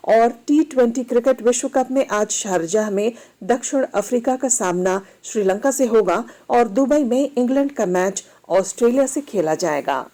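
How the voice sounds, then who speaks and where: A woman's voice: a little high, lively intonation, warm tone. Formal woman reading radio news. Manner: formal, measured announcing. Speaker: radio newsreader. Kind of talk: scripted news bulletin reading.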